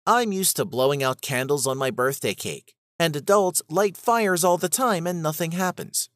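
Guy doing a stubborn little storybook bear, carefree n easily annoyed, really lively pitch, dry sound. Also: normal speed, no room sound. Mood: annoyed, defensive. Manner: animated, defensive. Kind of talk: children's storybook character.

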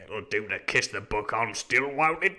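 A man acts a superstitious storybook pirate. He speaks in rough sailor's talk, as someone easily unsettled. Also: lowish voice, expressive, normal speed, pushed and bright. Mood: uneasy. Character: uneasy, superstitious male pirate named Dick. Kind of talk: theatrical storybook reading.

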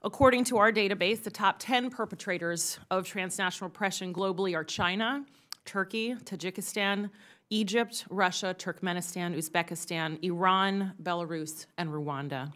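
A woman in her forties giving earnest, measured prepared testimony as an expert witness, into a desk microphone in a large congressional hearing room. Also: on the low side, a bit bright.

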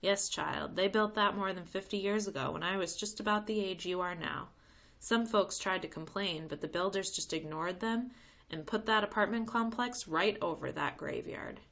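A woman reading the part of a folksy, gently firm grandmother in a children's storybook. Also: medium pitch, moderate pace, gravelly. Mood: uneasy. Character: warm, weary grandmother telling of old times.

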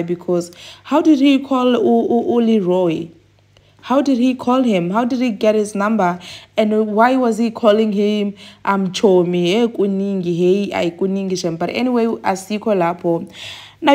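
Chatty South African woman, mid-pitched. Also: darkish tone. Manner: chatty, emphatic, repeats phrases for effect. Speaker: gossip channel host. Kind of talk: casual gossip commentary.

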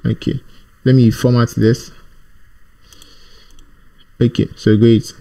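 A man with a mid-pitched voice walks through a coding tutorial in an informal way. He thinks aloud as he works, halting between short phrases and often repeating himself. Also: little pitch movement, gravelly, warm tone. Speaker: programming tutorial presenter.

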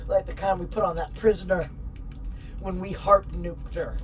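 A woman's voice: on the low side, fairly even intonation, moderate pace, fairly bright tone, lightly acted. An actress playing a desperate, defiant security woman on the run in an audio drama, with an intense, raw delivery. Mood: grimly tense. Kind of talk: audio drama acting with noir first-person narration.

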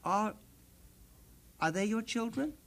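A man voicing a gentle, soft-hearted young prince in a storybook reading, speaking earnestly and hesitantly. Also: sits high, lively intonation, slow, pitched above the performer's own voice, full character voice. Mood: tender concern.

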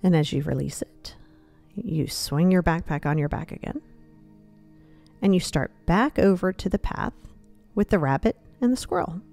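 A woman leading a guided meditation, speaking in a soothing, gently conversational way. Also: fairly low pitch, creaky.

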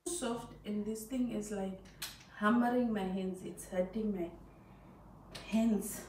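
A woman's voice: medium pitch, steady tone, takes it slowly, a little mellow, a little hiss or hum. A Namibian woman vlogging at home, chatting to her viewers in a rambling way while she assembles a rack and tidies up. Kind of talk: casual home vlog chat. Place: tiled bathroom at home. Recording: distant, very echoey home room.

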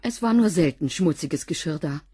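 Blunt cleaning woman, lowish husky voice, curt and a bit defiant. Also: lively intonation, normal speed, dark tone, no echo, studio quality. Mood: guarded. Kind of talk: radio drama acting.

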